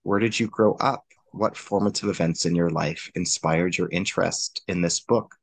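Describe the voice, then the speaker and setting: Deep-voiced formal male podcast host. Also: creaky, no room sound. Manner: formal, deliberate. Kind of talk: formal interview hosting.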